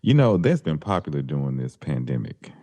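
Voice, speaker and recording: A man's voice: rumbling low, sing-song, brisk, mellow. A man in his forties co-hosting a podcast, joking and laid-back, stretching out his phrases. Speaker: podcast co-host. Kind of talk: casual chat.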